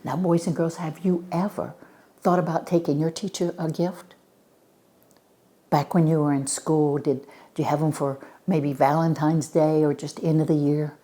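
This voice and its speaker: An older woman reading a storybook aloud to children, narrating expressively and dramatically, with hushed words and direct questions. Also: sits low, fairly even intonation, moderate pace.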